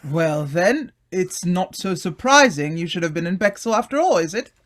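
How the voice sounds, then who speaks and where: An actor playing a condescending, chummy upper-class gentleman in an old-time radio drama, bluff and exclamatory. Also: sits high, very animated, moderate pace. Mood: reassuring, brisk.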